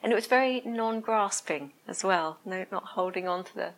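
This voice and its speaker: A woman with a soft voice giving a reflective meditation talk to a group, speaking slowly and pausing often to search for the right words. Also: medium pitch. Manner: slow, hesitant, searching for words. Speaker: meditation teacher. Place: room with a listening group.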